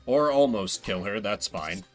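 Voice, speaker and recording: A man's voice: fairly low pitch, lively intonation, measured pace, soft background music. A man giving casual, wry solo commentary as he streams a video game.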